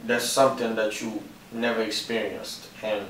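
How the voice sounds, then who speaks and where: A man's voice: lowish voice, flat delivery, normal speed, fairly bright tone, a little hiss or hum. A man interviewing a guest, asking polite, plainly worded questions. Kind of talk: casual student interview. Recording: echoey room from a distance.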